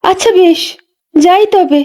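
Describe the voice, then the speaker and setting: A woman's voice: extremely high pitch, a little slow, dark tone. A woman voicing a friendly, sympathetic young pregnant village woman, chatting familiarly in a horror story drama. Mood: chatty, unworried.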